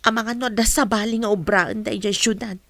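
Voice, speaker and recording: A woman's voice: mid-range voice, steady tone, fairly quick, fairly bright tone, no room sound, lightly acted. A woman playing an anxious, doubtful teenage daughter in an audio drama. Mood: doubtful, questioning.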